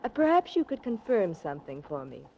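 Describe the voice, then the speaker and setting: A woman's voice: mid-range voice, big pitch swings, brisk, darkish tone. An actress playing a cool, scheming mystery woman, poised, formal and coy, in 1940s radio drama style. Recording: muffled, noisy 1940s radio recording.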